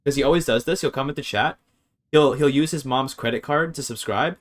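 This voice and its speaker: A man's voice: medium pitch, a little fast. A man casually streaming a game, thinking aloud as he reads his chat and works through grammar. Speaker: streamer learning a language. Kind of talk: casual live-stream commentary.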